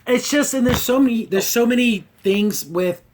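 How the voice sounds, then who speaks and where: A man's voice: extremely high pitch, moderate pace, crowd noise. A man hosting a casual review podcast about cartoons, talking in an exasperated, mocking way. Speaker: podcast host.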